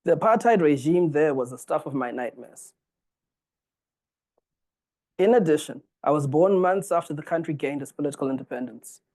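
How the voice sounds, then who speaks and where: A man from Zimbabwe in his forties delivers a formal public lecture. His voice sits a little high for a man, and he reads in a measured, earnest way, lifting key words for emphasis. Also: lively intonation, darkish tone.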